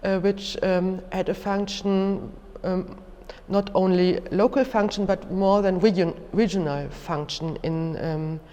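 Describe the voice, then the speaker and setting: Woman lecturer, German accent, slow halting delivery, careful explanations, long hall reverb. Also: mid-range voice, steady tone, dark tone, lo-fi sound. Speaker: museum lecturer. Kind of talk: educational lecture, unscripted explanation.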